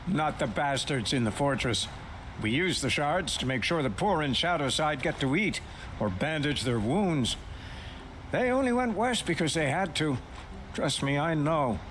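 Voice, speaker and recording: A man's voice: fairly high pitch, expressive, unhurried, a bit bright, slight background noise. A man playing a grieving, righteous thief who feeds the poor, speaking bluntly and earnestly in a video game. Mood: bitter grief. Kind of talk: video game dialogue acting. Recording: music bed behind the voice.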